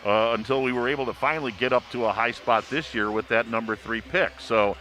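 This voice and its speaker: A man with a bright, forceful voice at a middling pitch gives polished basketball analysis. He sounds like a sports announcer, phrasing his points in a measured, emphatic way. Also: a little hiss or hum, low-quality recording.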